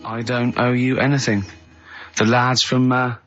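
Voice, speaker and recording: Male comic radio host, southern English accent, sharp forward voice, loose chatty style, muffled audio. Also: mid-range voice, little pitch movement, a little slow. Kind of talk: casual comic radio chat. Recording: slightly muffled lo-fi radio recording.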